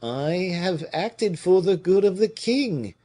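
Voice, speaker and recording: A man's voice: high-pitched, animated, takes it slowly, mellow, voice pushed up, full character voice. A man voicing a deferential, aggrieved royal clerk with a faltering, wheedling manner in an audiobook. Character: obsequious, aggrieved male royal clerk, cautious schemer. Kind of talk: dramatic audiobook character reading.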